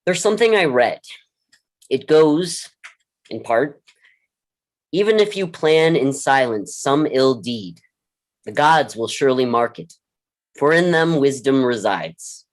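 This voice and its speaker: An actor plays a blunt, world-weary mentor in a staged drama. The voice sits at a middling pitch, and the delivery is deliberate and earnest, with a dry frankness. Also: lively intonation. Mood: grave, philosophical. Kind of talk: staged play reading, dialogue and monologue.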